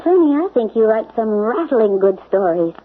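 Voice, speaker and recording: A woman's voice: on the high side, very animated, moderate pace, a little hiss or hum. A doubtful woman friend on old radio. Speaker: Ruthie, a friend of the narrator. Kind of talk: 1970s radio drama acting. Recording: tinny, lo-fi old radio broadcast.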